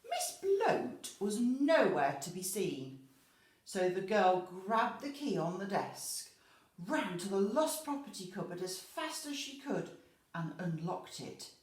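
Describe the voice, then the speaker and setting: A woman teacher reading a children's storybook expressively, pausing to explain words simply to young listeners. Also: mid-range voice, big pitch swings. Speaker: infant school teacher. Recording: echoey room.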